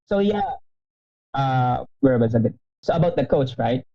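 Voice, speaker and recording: Animated man in his thirties, muffled audio. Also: medium pitch, a little slow. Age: 30s. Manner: animated, laughs often, many restarts. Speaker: podcast guest. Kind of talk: casual interview storytelling.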